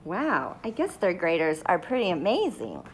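A woman in her thirties reading a storybook aloud live to children, playfully chatting to them in expressive asides. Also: on the low side, moderate pace, a little hiss or hum, low-quality recording. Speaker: teacher reading aloud to pupils. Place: living room at home, indoors.

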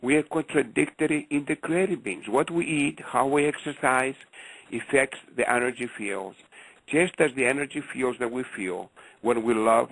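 A man's voice: mid-range voice, fairly bright tone, slightly rough, dry recording. A man with a Greek accent calling into a radio talk show, speaking passionately and emphatically, often repeating himself. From Greece. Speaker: caller. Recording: phone line on radio show.

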